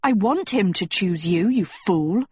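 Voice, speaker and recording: A woman's voice: medium pitch, expressive, unhurried, a little mellow, breathy edge, no room sound. A woman voicing a glum, blunt teenage girl in a storybook, with an exasperated and defensive manner. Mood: pleading, frustrated.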